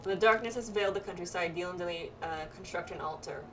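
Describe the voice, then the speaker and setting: A woman's voice: on the low side, fast talker, distant mic, a little hiss or hum, rough recording. A woman streaming a game playthrough with friends, reading on-screen text aloud and adding her own asides.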